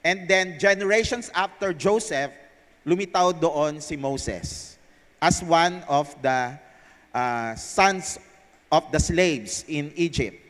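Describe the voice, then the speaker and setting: A man's voice: fairly high pitch, slow, fairly bright tone. A man with a Filipino accent speaking as the presenter of a live stage event. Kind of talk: scripted narration read aloud to a live audience. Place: large indoor theater hall. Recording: echoey hall over PA sound system.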